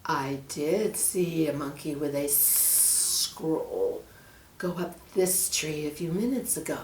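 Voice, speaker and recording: Woman voicing a slow, hissing, sinister male snake. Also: low-pitched, echoey room, far from the mic. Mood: falsely caring. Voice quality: hushed, breathy hiss. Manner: slow, drawn-out, sinister delivery. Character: sly, menacing male snake, falsely caring.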